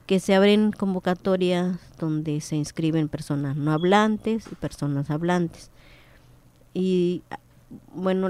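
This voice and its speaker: A woman's voice: on the low side, dark tone. A woman whose first language is Popoluca, a linguist speaking as a guest in a radio interview, hesitant with many fillers.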